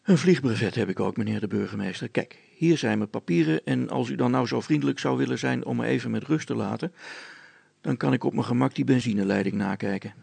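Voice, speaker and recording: Male reader as courteous helicopter pilot, gravelly, calm, gently ironic, dry close sound, storybook character. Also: medium pitch, brisk, dry recording. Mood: patient. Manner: polite, patient, gently ironic.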